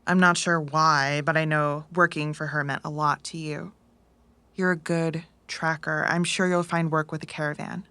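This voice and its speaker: Low-pitched, sardonic cyberpunk runner. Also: female voice, level tone, moderate pace, bright, forceful tone, dry recording. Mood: matter-of-fact. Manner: dry, frank. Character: blunt, sardonic female cyberpunk runner, fond but skeptical. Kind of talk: audio drama acting.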